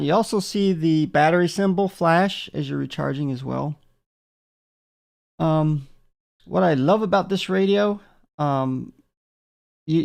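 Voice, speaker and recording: A man talks through a product demonstration in an informal, unscripted way. His voice sits a little high for a man but is thick with gravelly creak. He moves haltingly, with frequent pauses and fillers as he explains. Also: darkish tone. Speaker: product reviewer.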